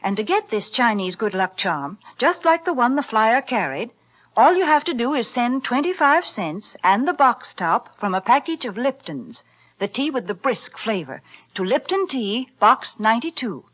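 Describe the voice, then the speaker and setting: A woman co-hosting as a 1940s radio sponsor spokeswoman, brisk, prim and persuasive. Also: on the high side, sing-song. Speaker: commercial spokeswoman and co-host. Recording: dull 1940s radio recording.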